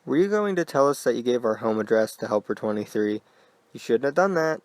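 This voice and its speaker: A man voicing an eerie mother in an unnatural falsetto, recorded close and dry. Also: animated, a little fast, no room sound, natural voice.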